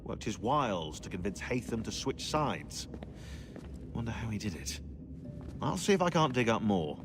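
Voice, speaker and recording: An actor playing a dry, witty, bookish British researcher in a video game, speaking articulately and wryly. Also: male voice, on the low side, big pitch swings, moderate pace, music in the background. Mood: intrigued. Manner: wry, articulate. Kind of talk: naturalistic video game acting.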